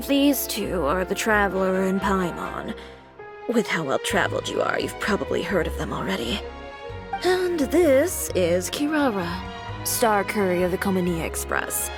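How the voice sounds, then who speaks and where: A poised fashion designer speaking in an even, mid-pitched voice with cool, dry self-assurance. She sounds unimpressed and practical, never hurried. Soft game music plays beneath. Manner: cool, dry, matter-of-fact. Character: composed, businesslike female boutique owner and designer. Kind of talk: game voice acting.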